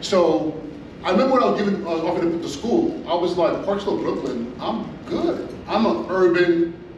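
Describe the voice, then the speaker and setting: A middle-aged man from California, a school principal giving an animated conference talk with many restarts and fillers. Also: high-pitched, moderate pace, muffled recording. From Inglewood, California. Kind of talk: conference presentation. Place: large hotel meeting room. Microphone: far camera, no mic. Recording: echoey room from far off, audible noise.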